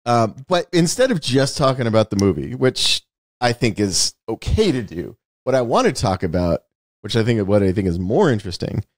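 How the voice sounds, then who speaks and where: Middle-aged American male podcast host, low register, very dry close sound, rambling casual chat with the odd swear. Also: very animated, moderate pace, no room sound. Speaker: screenwriter and author.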